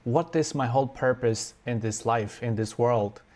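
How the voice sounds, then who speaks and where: A man, telling his own life story in an earnest, unhurried, reflective way with thoughtful pauses. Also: mid-range voice, darkish tone. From Romania.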